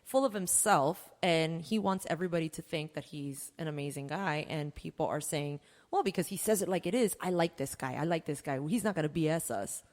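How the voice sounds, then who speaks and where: Blunt American woman, low-pitched, echoey recording. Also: fairly quick, few pauses, darkish tone. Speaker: podcast co-host. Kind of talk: casual political chat. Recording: long echoing reverb.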